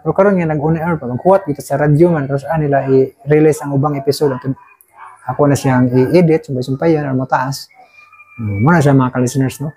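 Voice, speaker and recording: A man's voice: mid-range voice, fairly even intonation, a little fast, dark tone, husky, low-quality recording. A man with a Filipino accent chatting casually to listeners as a host, rambling and often adding short tag questions. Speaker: uploader and host of drama channel. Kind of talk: casual unscripted chat to listeners.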